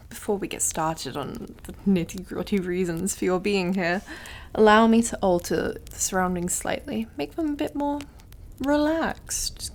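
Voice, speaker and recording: A woman's voice: on the high side, darkish tone. A woman voicing a suave, condescending devil in an ASMR roleplay, drawling and over-polite in a theatrical, taunting way. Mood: silky, menacing courtesy. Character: suave, mocking, condescending devil who deals in soul contracts.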